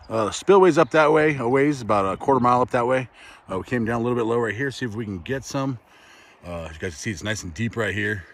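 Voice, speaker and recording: A man hosting a casual fishing vlog outdoors by a river, calling out to his viewers. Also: on the low side. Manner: excited, addresses viewers as guys. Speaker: fishing channel host.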